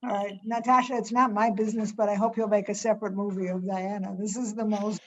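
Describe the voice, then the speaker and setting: A woman's voice: medium pitch, little pitch movement, fast. Chatty woman moderator, muffled. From United States. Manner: chatty, with restarts. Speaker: webinar moderator. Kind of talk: casual moderating on a webinar. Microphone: video call.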